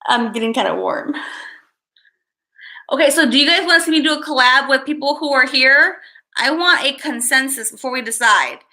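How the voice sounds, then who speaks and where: Chatty high-voiced woman on a livestream. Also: animated, moderate pace, bright, forceful tone. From Chicago, USA. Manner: rambling, chatty, reads viewer names aloud. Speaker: livestream host. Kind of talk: casual livestream chat.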